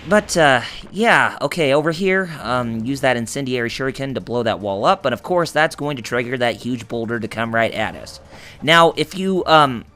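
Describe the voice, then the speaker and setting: Male let's-play host, hoarse and raspy, chatty and rambling; bright tone. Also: mid-range voice, animated, normal speed. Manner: rambling, full of fillers and restarts, jokey. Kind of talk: gameplay commentary.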